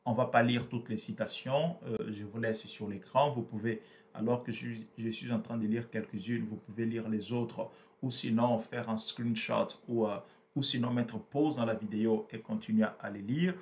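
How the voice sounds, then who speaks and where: A man's voice: fairly low pitch, fairly even intonation, normal speed, a little hiss or hum. A man whose first language is Portuguese, explaining a book in a hesitant, repetitive way with many fillers. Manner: hesitant, repeats words, frequent fillers. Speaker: book reviewer. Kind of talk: explanatory book review talk.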